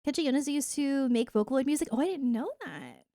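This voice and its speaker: High-voiced woman chatting casually on stream. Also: lively intonation, quick pace, warm tone, dry recording, clean studio sound. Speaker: livestreamer chatting with viewers. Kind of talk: casual livestream chat about anime and games.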